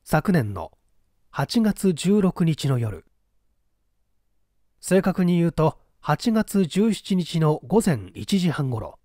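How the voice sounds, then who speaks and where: A man reading a mystery story aloud as literary narration, in a measured, polite storytelling manner. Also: fairly high pitch, very animated, warm tone, no room sound, clean studio sound. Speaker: audiobook reader.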